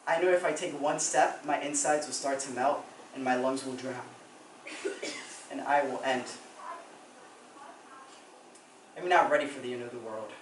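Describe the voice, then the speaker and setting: A man's voice: mid-range voice, normal speed, natural voice. Young man as a subdued, regretful teenager, distant mic. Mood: anguished, regretful. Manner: subdued, confessional. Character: regretful, withdrawn teenager haunted by missing a funeral. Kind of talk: dramatic stage monologue. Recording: echoey room, distant mic, noisy.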